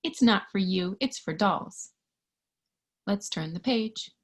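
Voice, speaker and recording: Slow, husky woman reading to little children. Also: mid-range voice, animated. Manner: gentle, singsong, sometimes hushed to a whisper; childlike wonder, simple explanations for small children. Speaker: storyteller reading a picture book to young children. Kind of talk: interactive children's read-aloud with questions to the listener.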